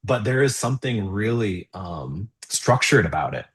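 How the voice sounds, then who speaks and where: A middle-aged man speaking as an interview guest, thoughtful and easy to laugh, animated when explaining. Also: lowish voice, normal speed, slightly rough. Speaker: guest, composer and theology professor. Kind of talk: conversational interview.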